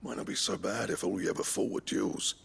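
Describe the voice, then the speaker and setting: An actor playing a gruff, proud older blacksmith in a video game, with a blunt, wry, colloquial manner. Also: male voice, low-pitched, a little fast, a bit bright, exaggerated voice. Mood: wry, sceptical. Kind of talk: video game cutscene acting.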